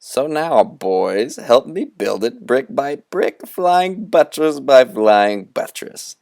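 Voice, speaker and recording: A man's voice: mid-range voice, expressive, takes it slowly, gravelly, darkish tone, no room sound, pitched above the performer's own voice. Guy putting on a showy old trickster, big swoopy declaiming, dry close sound. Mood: grandly scheming. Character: grand, theatrical old male trickster guide.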